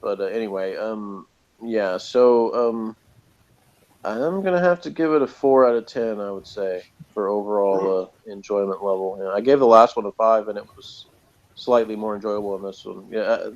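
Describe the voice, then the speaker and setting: A man's voice: lowish voice, moderate pace, highs cut off. A man giving a casual, off-the-cuff TV episode review as host, rambling along in a sardonic way.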